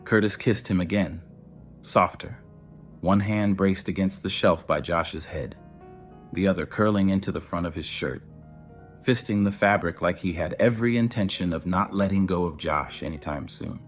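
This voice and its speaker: A man's voice: deep voice, moderate pace, faint music behind. A man narrating a scripted audio story as its storyteller.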